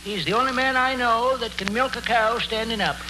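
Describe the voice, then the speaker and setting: A man playing a crusty old New England farmer with a deadpan, folksy delivery in a 1940s radio comedy. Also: very high voice, a little fast, loud music behind the voice. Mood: dry, deadpan. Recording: very noisy vintage radio broadcast.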